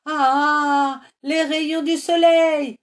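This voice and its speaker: A woman growling as loud hungry troll monsters. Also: a little high, a little slow, voice pushed up, natural voice. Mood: furious. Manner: loud snarling threats.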